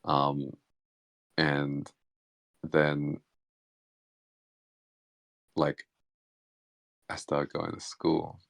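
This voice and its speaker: A man, a guest in an online interview, talking reflectively and haltingly with many fillers; his first language is Hakka Chinese. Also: a bass voice, completely flat delivery, creaky, fairly bright tone, no room sound. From Australia. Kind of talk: casual reflective conversation. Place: online voice chat.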